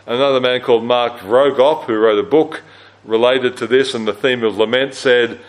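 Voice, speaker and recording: A man with a low-register voice leads a church camp Bible study in a relaxed, conversational way. He fills pauses with "uh" and corrects himself as he goes. His pitch sits in the middle range. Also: poor audio. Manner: conversational, with frequent fillers and self-corrections. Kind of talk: teaching a Bible study talk.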